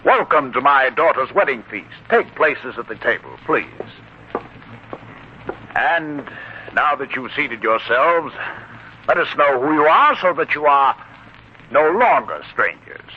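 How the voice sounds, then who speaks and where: A man's voice: medium pitch, sing-song, husky. A man playing a gracious, ceremonious father of the bride hosting a feast, in 1940s radio drama style on a muffled old broadcast. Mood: welcoming. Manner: courteous, ceremonious, deliberate.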